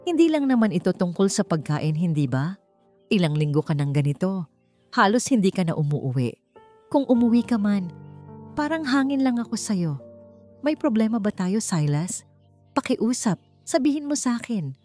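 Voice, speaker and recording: Filipino lady as a hurt wife in a love story, pleading, emotional, voice goes higher, music behind. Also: sing-song, normal speed, long pauses, dark tone, lightly acted.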